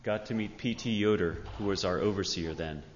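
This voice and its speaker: A male pastor with a fairly low voice addresses a church in an earnest, measured way. His words ring through a long hall reverb, and the recording is somewhat dull. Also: slight background noise. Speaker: pastor introducing a guest speaker. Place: large indoor church sanctuary.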